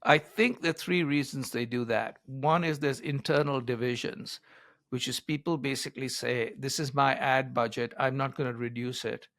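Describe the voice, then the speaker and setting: An older man speaks as an interviewed advisor, in a medium-pitched voice. He explains things in a conversational but emphatic way, setting out his points in order. The recording is dry, with very little room sound. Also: steady tone, moderate pace, gravelly, fairly bright tone, dry recording. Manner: emphatic, explains in numbered points with acted-out quotes. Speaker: business author and advisor being interviewed.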